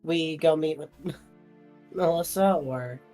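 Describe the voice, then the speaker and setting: A man's voice: fairly high pitch, slow, warm tone, faint music behind. A man chatting casually as a player in a tabletop game.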